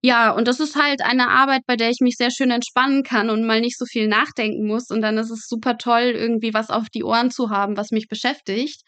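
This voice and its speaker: A woman's voice: fairly high pitch, fairly even intonation, a little fast, few pauses, fairly bright tone, dry recording. A young woman, a guest telling stories in a casual, chatty and emphatic interview style. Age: young adult. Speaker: podcast guest, medical student working in pathology.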